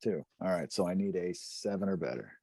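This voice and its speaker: A man's voice: sits low, expressive, fairly quick, gravelly, dark tone, breathy edge, no room sound, studio quality. A man playing a tabletop game, chatting casually as he works out his moves.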